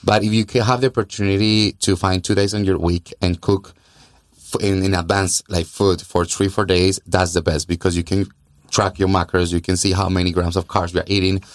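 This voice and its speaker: A man's voice: low-pitched, little pitch movement, a little fast, no room sound, studio quality. A man from Colombia with a Colombian accent and native Spanish, explaining things earnestly as a guest in a conversational podcast interview, often repeating words for emphasis. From Colombia and South America. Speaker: interview guest, gym owner and fitness trainer.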